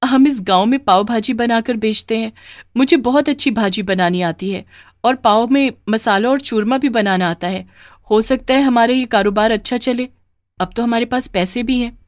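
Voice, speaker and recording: A woman's voice: fairly high pitch, normal speed, dry recording, studio quality, close to an everyday voice. Woman voicing an earnest, plaintive poor mother. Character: poor widowed mother, earnest street-food seller. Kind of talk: Hindi animated moral story dialogue.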